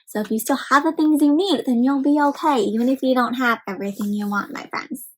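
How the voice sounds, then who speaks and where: A woman's voice: high voice, a little fast, breathy edge. A woman hosting an interactive picture-book read aloud for children, talking directly to them and asking encouraging questions.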